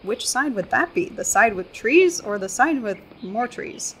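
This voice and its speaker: A woman's voice: a little high, lively intonation, unhurried, fairly bright tone, a little hiss or hum. A woman playing a young American tourist in a radio play, earnest, wry and self-deprecating, with an American accent. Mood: sheepish, wry. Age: young adult.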